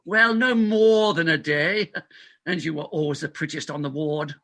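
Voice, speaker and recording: A man's voice: a little high, a little slow, sharp tone. A man playing a pompous, evasive middle-aged London doctor, blustering and stammering through a comic stage play reading. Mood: startled, flustered.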